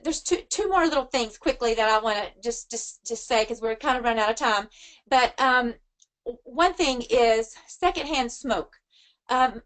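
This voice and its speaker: A woman's voice: sits high, fairly bright tone, rough recording. A middle-aged woman, teaching informally as a vocal coach, conversational and slipping into quoted remarks as she shares anecdotes. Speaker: vocal coach giving a webinar.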